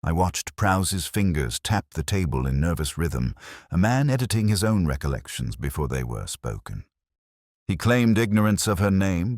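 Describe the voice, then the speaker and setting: A man giving a measured, reflective first-person narration of a detective story in a literary style. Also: extremely low pitch, a little mellow, no echo, studio quality.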